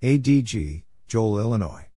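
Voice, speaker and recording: A man narrating practice exchanges in a scripted readout, spelling out letters and short phrases in a clipped, deliberate way. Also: lowish voice, very animated, mellow.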